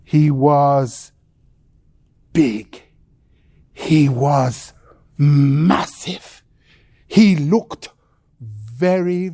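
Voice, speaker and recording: A woman telling a children's bedtime story theatrically, with dramatic, drawn-out phrasing and suspenseful pauses. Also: low-pitched, warm tone, no echo, studio quality.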